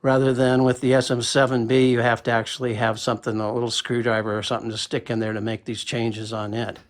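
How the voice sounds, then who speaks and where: A man's voice: medium pitch, little pitch movement, fast, roomy sound, recorded from a distance. A man casually reviewing a microphone, talking plainly and counting off mic tests. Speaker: gear reviewer. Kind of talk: casual product demo with mic checks.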